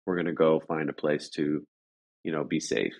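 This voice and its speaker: A man speaking as an interview guest, telling a story casually in a halting, rambling way with repeated phrases. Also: rumbling low, fairly even intonation, creaky.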